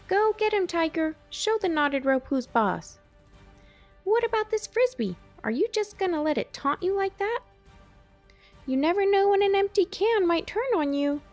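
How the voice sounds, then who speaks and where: Woman voicing an eager squeaky-high little chick. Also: animated, a little slow, long pauses, darkish tone, faint music behind. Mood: egging on. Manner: eager, exclaiming, questioning. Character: excitable young male chick, adoring, eager hero-worshipper.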